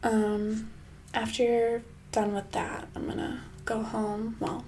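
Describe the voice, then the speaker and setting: Soft, high-voiced sinister lady boss, acted by a woman. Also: flat delivery, a little hiss or hum. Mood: mock-sympathetic, menacing. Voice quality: soft, breathy, often slipping into whisper. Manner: casual, condescending, falsely sweet, hesitant pauses. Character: smug, sinister female boss sweetly holding an employee hostage. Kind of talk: soft-spoken ASMR roleplay.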